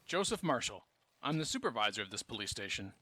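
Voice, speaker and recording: An actor playing a guarded, businesslike police captain in a fan audio drama, speaking in a matter-of-fact official way. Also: male voice, fairly low pitch, sing-song, fast talker, very noisy.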